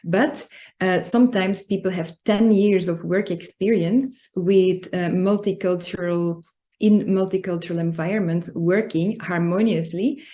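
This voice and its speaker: A woman from Belgium, speaking as a language, gives an informal talk in an earnest, explanatory way with frequent pauses. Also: lowish voice, steady tone, dark tone. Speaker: guest speaker, coach and club secretary. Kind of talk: informal prepared talk on workplace values.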